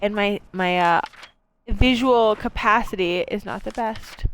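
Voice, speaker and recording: A woman's voice: medium pitch, measured pace, a bit bright, slight background noise. A woman from Maine streaming a video game, talking in a rambling, chatty way with lots of fillers and swearing. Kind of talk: casual let's-play chat.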